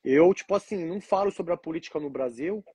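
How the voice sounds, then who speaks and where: A man in his forties with a Paulista accent speaks as a guest over a video call. He sounds relaxed and drops filler phrases between thoughts. His voice is a little husky and slightly high, and the audio is dry and close. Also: fast, dry recording. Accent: Paulista Brazilian Portuguese. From Brazil, São Paulo. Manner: relaxed, fills with "tipo assim". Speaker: guest, capoeira teacher abroad. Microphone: video call from abroad.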